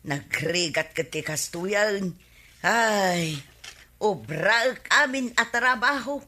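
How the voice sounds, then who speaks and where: A woman's voice: medium pitch, expressive, slow pace, sharp tone, exaggerated voice. A woman with an Ilocano accent playing a gossipy, overworked village wife who grumbles, in a radio comedy drama. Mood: grumbling. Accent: Ilocano Filipino.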